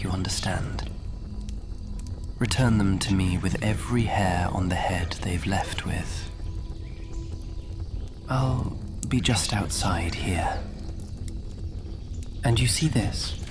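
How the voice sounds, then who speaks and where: A man playing a suave, possessive supernatural lord in an ASMR audio drama, speaking in a hushed, breathy drawl. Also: deep voice. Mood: possessive, cautioning. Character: suave, possessive male supernatural lord sending off his valet. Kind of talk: ASMR audio roleplay acting.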